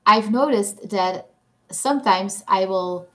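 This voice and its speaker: A young woman with a Belgian Dutch accent, chatting unhurriedly in English on a casual live stream. She giggles easily and is quick to tease herself, her voice sitting at a middle pitch and moving along at a leisurely pace. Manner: chatty, giggly, self-deprecating. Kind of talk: casual live stream chat reading comments.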